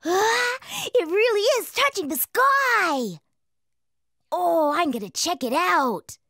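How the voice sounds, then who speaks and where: A performer playing a curious, naive young farm boy in an animated children's story, wide-eyed, hesitant and excitable. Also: very high-pitched, takes it slowly, no room sound, studio quality, heavily acted. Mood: amazed.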